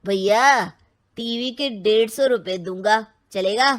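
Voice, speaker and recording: A woman's voice: a little high, slow. A woman voicing a cheeky, haggling junk dealer in a cartoon story. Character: sharp-tongued man, a junk dealer. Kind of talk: Hindi cartoon voice acting.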